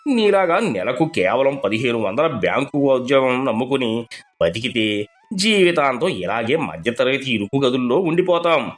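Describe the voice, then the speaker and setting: A man puts on a cocky wheeler-dealer from a cartoon morality tale. He brags and sneers with very lively intonation, over quiet music. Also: mid-range voice, normal speed, close to an everyday voice. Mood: boastful. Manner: boastful, sneering. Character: cocky, mocking moneylender friend, a boastful schemer. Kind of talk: Telugu animated moral story acting.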